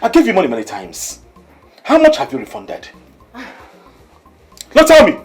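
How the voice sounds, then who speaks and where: A protective father in a film drama, indignant and accusing. His emphatic speech swings dramatically between low and high, with music playing behind it. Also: wildly swooping pitch, normal speed, creaky, reverberant, full character voice. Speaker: actor.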